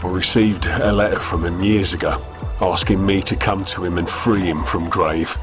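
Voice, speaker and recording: An actor playing a solemn male devotee in a sci-fi game, speaking in a measured, sorrowful way. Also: low-pitched, fairly even intonation, natural voice. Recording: game audio with music behind. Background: music.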